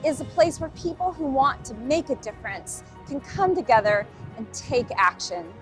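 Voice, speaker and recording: High-voiced woman in a promo video. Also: sing-song, normal speed, fairly bright tone, soft background music. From Los Angeles.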